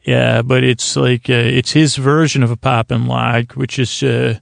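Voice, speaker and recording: A man with a creaky voice hosting a sleep podcast, talking in a meandering, halting, whimsical monologue that circles and over-describes. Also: medium pitch, level tone, no echo, clean studio sound. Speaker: podcast host. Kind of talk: rambling bedtime monologue to help listeners sleep.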